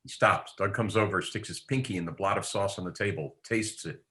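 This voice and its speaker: A man's voice: deep voice, a little fast, pushed and bright. A man reading script stage directions aloud at a table read.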